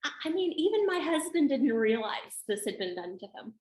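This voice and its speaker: A woman's voice: high voice, sing-song, normal speed, a little mellow. Thoughtful middle-aged woman, echoey room. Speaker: psychologist guest. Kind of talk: interview answers.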